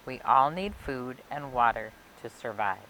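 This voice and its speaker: A woman reading a children's picture book aloud for a library storytime, expressively and phrase by phrase with emphatic pauses. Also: very deep voice, expressive, a bit bright, poor audio. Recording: clear background noise and hiss.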